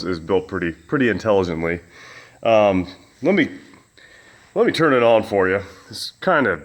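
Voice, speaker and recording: A man in his home basement gym talks through a fan review in a loud, easygoing voice that sits a bit low. He rambles, restarts sentences and fills gaps with ums and uhs. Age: adult with children. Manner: rambling, folksy, many fillers and restarts. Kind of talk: casual product review vlog.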